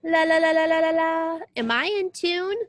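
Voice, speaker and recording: Woman putting on an excited, high-voiced naive young newcomer. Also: normal speed, no echo. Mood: eager. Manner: eager, wide-eyed questioning.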